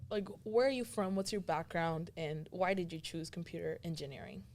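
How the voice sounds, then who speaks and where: A woman's voice: lowish voice, normal speed, a little mellow. A woman hosting a podcast, interviewing a guest in a casual, conversational way. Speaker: podcast host.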